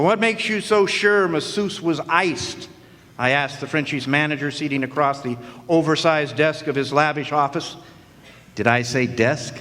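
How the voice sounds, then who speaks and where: A man's voice: mid-range voice, a bit bright, natural voice. An actor playing a wisecracking hardboiled male private eye, narrating in a dry, sardonic noir radio style on a stage. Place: live stage performance in a hall. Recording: long hall reverb.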